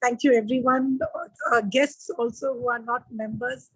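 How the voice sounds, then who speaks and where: A woman giving a halting vote of thanks on a video call, with many fillers. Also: a little high, fairly even intonation, a bit bright, husky, no room sound, rough recording.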